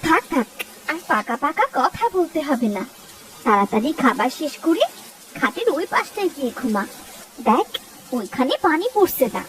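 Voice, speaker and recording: Woman acting a high-voiced, fretful village mother. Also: very animated, moderate pace, fairly bright tone, distant mic, a little hiss or hum, poor audio. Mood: exasperated. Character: anxious, scolding poor village mother. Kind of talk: cartoon voice acting.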